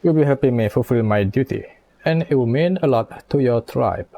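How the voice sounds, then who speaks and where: A man's voice: medium pitch, lively intonation, normal speed, creaky, warm tone. A man casually reacting to a video game and reading its text aloud, laid-back and teasing. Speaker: gamer streaming a playthrough. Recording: game music behind the voice.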